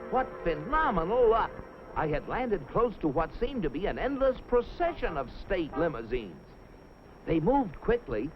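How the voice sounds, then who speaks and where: A man voicing an eager, boastful, fawning Martian space explorer who makes a grandiose speech in a 1950s animated cartoon. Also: fairly high pitch, background noise. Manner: earnest, grandiose, speech-making with flustered hesitations. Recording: muffled 1950s film soundtrack.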